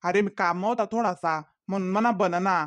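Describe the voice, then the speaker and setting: A man's voice: high-pitched, fairly even intonation, moderate pace, a bit bright, dry recording, highs cut off. A man playing pleading Khandeshi cartoon boyfriend, dry sound. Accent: Khandeshi (Ahirani). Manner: pleading, excuse-making. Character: pleading, defensive young village boyfriend in comedy cartoon. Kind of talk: comedy cartoon dialogue in dialect.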